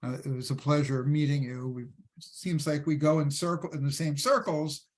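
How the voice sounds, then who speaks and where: A man with a Philadelphia accent hosting an online panel, chatty with quick restarts and fillers. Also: on the high side, level tone. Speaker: host. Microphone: video call.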